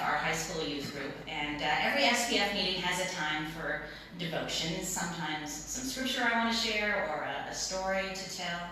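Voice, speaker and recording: A middle-aged woman pastor preaching in a plain-spoken, informal storytelling way, pausing and repeating words for emphasis. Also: on the low side, pushed and bright. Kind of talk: sermon, conversational storytelling. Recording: very echoey room, distant mic, noisy.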